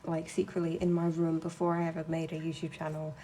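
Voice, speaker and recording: A woman's voice: low voice, completely flat delivery, fast talker, mellow, a little hiss or hum. A young woman streaming while she draws, chatting casually in a blunt, sweary, ranting way. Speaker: streamer and artist.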